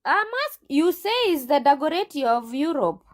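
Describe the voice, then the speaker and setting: A woman's voice: high-pitched, animated, normal speed. A woman with a Kenyan accent answering as a recruitment agent in an interview, assertive and emphatic, often repeating herself.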